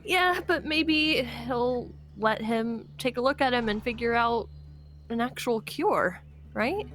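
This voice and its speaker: A woman playing a hesitant, hopeful young apocalypse survivor in an improvised game roleplay, speaking haltingly and trailing off. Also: a little high, a bit bright, faint music behind. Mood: hopeful, tentative.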